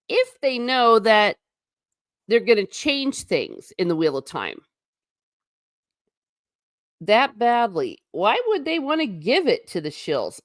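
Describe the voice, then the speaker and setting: A woman runs a casual livestream, reading out her chat and answering it in a wry, rambling way. Her voice sits at an ordinary middle pitch, and she restarts her sentences often. It is recorded dry and close, with no room sound. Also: dry recording. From United States. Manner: chatty, wry and tangential, with frequent restarts.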